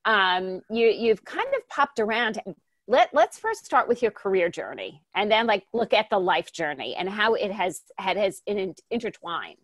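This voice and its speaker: A woman's voice: mid-range voice, very animated, normal speed, dry recording. A woman hosting a podcast, introducing her guest in a chatty way and correcting herself as she goes. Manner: chatty, self-correcting, stumbles over names. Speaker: podcast host.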